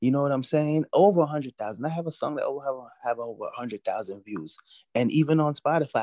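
A man in his forties from Jamaica, raised in Brooklyn, chatting as an interview guest, relaxed and full of "you know.". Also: mid-range voice, no echo. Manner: relaxed, repeats himself, many "you know"s. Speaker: guest musician. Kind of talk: casual interview talk.

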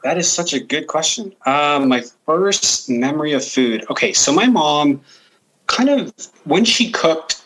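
A man from Guyana who grew up partly in Canada, talking reflectively as an interview guest and pausing to find words. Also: a little high, lively intonation, a bit bright, rough recording. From Guyana, moved to Canada as a child. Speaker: podcast guest.

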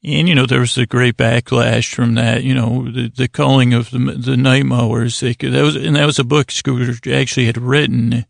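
A man hosting a sleep podcast, giving a meandering, stop-and-start bedtime monologue in a drawn-out, soothing delivery. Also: mid-range voice, level tone, creaky, dry recording, clean studio sound. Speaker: podcast host. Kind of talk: rambling bedtime monologue to lull listeners to sleep.

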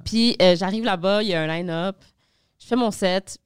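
A woman with a Québécois French accent chats on a podcast, spinning loose, lively stories sprinkled with swearing and casual fillers. Her pitch sits mid-range for a woman. The recording is dry and close, with no room sound. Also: moderate pace, clear, resonant tone, no room sound. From Montreal, Quebec. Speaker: stand-up comedian and podcast guest.

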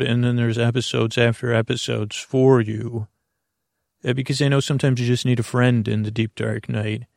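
Man, gravelly fry, dry close sound, meandering bedtime podcast host, halting, gentle and reassuring. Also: mid-range voice, no room sound. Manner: meandering, halting, full of tangents and repeated false starts. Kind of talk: rambling bedtime monologue to help listeners sleep.

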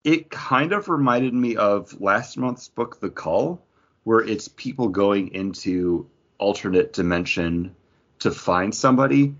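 A man's voice: fairly low pitch, expressive, measured pace, a bit bright, dull audio. A man co-hosting a podcast, chatting casually as he steers the discussion and asks questions. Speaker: podcast co-host leading discussion. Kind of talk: casual chat about comics.

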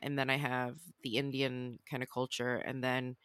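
A woman's voice: extremely low pitch, level tone, normal speed, fairly bright tone, clean tone, no echo, clean studio sound. A young woman from Sweden, a comedian guest in a casual chat, wry and hesitant with many fillers.

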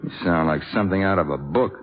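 An actor playing an earnest, awkward American jazz musician, plain-spoken and halting, in 1950s radio drama style. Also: male voice, low-pitched, a little hiss or hum, lightly acted. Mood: hurt, indignant. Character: earnest, awkward, lovestruck male jazz trumpet player. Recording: tinny, narrow old radio broadcast.

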